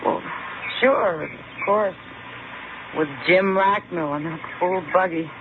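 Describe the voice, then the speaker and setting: An American actress playing a feisty, quick-tempered, wry frontier woman in a 1950s radio western. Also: lowish voice. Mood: rueful, embarrassed. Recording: tinny, noisy 1950s radio broadcast recording.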